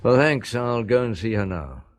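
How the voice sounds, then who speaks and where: An actor playing a shrewd, courteous middle-aged police chief inspector in a radio detective drama, asking measured, probing questions. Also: male voice, fairly low pitch, very animated. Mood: brisk, polite.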